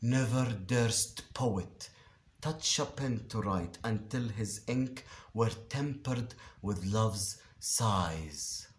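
Slow, declamatory male actor in echoey room. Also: lowish voice. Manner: declamatory, deliberate, with dramatic pauses and emphatic swells. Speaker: actor reciting a Shakespeare monologue. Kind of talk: theatrical Shakespearean verse recitation. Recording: roomy, echoing space.

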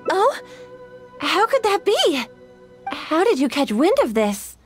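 A woman's voice: very high voice, wildly swooping pitch, faint music behind, full character voice. A woman voicing a polite, dutiful young shrine maiden who speaks formally. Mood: composed. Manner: formal, courteous, measured. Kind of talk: game voice acting.

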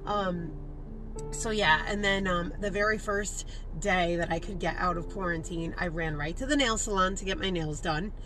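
A woman's voice: on the low side, moderate pace, music in the background, low-quality recording. A woman in her thirties with a congested, sniffly voice, chatting through a casual life-update vlog from a parked car into a handheld mic. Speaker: vlogger. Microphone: handheld karaoke microphone.

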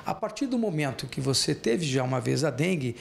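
A man's voice: a little high, very animated, fairly quick, creaky, slight background noise. A man in his fifties with a Brazilian accent, explaining as a clinic director in an interview. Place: small clinic room. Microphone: handheld interview microphone.

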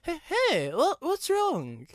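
A woman's voice: sits high, wildly swooping pitch, slow pace, no echo, clean studio sound, voice pushed up, heavily acted. A narrator voicing a young woman who works as a train fireman, urgent and easily shaken, in a spoken story. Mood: worried.

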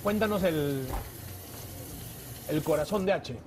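A man's voice: fairly high pitch, takes it slowly. A man who hosts a food show, asking a chef questions and summing up the answers. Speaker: host.

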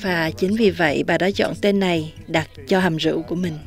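A young woman hosting an outdoor interview, holding a handheld mic and translating her guest's words with lively delivery. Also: on the low side, fast talker. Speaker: host. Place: outdoor patio at a winery.

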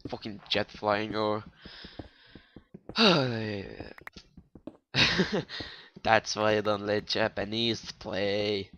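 A man's voice: lowish voice, drawn-out words, long pauses, sharp tone, slight background noise. A young man with a non-native English accent, rambling and swearing casually as he comments on his own gameplay. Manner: rambling, swearing, joking to viewers. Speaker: gaming YouTuber.

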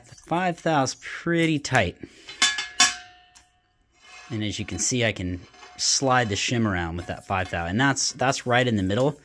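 Man, lowish voice, relaxed, thinking aloud, home workshop, body-worn camera. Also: slight background noise. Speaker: hobbyist welder demonstrating a test. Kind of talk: casual hands-on workshop demonstration. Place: small home workshop garage. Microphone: camera worn on the body, point-of-view framing.

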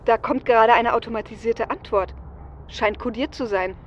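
A woman's voice: fairly high pitch, moderate pace, lightly acted. A woman playing a flippant female hacker on a heist team in an audio drama, speaking casually. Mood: urgent. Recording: dull, noisy audio.

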